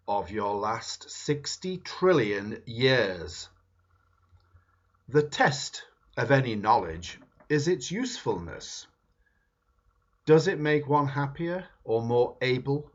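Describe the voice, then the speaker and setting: A man reading a book foreword aloud in a slow, emphatic, dramatic way. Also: medium pitch, breathy edge. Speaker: reader. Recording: dull lo-fi recording.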